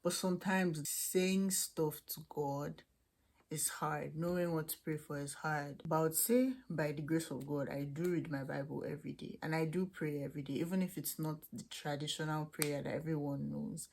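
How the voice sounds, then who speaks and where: A woman's voice: low voice, steady tone, moderate pace, vocal fry, darkish tone. A young woman with a Nigerian accent chatting candidly as she answers viewers' questions about student life and faith. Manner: chatty, candid, with frequent restarts. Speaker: international student vlogger. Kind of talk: casual chat answering questions to camera.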